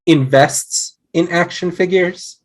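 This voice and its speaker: Young husband, read by an actor, wry skeptic, very slow casual delivery, sitcom table read. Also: mid-range voice. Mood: skeptical. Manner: dry, casual.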